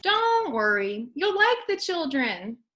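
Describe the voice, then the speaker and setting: A woman's voice: high-pitched, big pitch swings, takes it slowly, a bit bright, voice pushed up, full character voice. A woman voicing a kindly, reassuring male school janitor in a storybook, upbeat and soothing.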